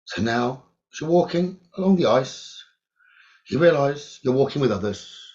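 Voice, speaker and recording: A man of middle pitch leads a guided meditation, slow and soothing, repeating phrases and pausing often. The recording sounds dull and lo-fi. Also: animated, darkish tone. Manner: slow, soothing, repetitive instructions with long pauses.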